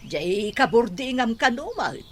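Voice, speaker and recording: Worried mother, animated intonation. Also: on the high side, a little slow. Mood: reproachful, pleading. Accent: Ilocano. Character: worried, reproachful mother of a student. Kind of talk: Ilocano radio drama acting.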